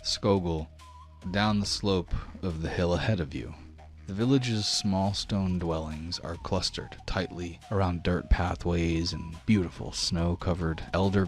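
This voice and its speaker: Deep-voiced, slow-paced man narrating. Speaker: game master. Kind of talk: dramatic scripted tabletop narration.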